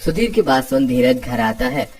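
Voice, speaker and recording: A man's voice: mid-range voice, very animated, moderate pace, darkish tone, husky. A man narrating a scripted cartoon story as the storyteller.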